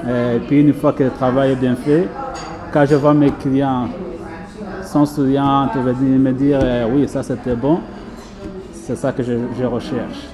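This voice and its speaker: A man's voice: mid-range voice, little pitch movement, unhurried. Frenchman giving a testimonial over music. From France. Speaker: pastry chef and business owner being interviewed. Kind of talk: casual personal testimonial.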